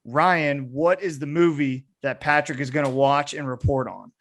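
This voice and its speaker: A man's voice: on the high side, steady tone, normal speed, a bit bright. A young man hosting a casual sports podcast, chatting in a playful, bantering way. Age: young adult. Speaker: podcast host.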